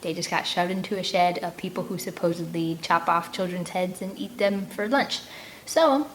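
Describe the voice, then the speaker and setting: Expressive woman reading aloud, noisy recording. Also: on the low side, moderate pace. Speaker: read-aloud host and narrator. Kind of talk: expressive audiobook narration with casual asides.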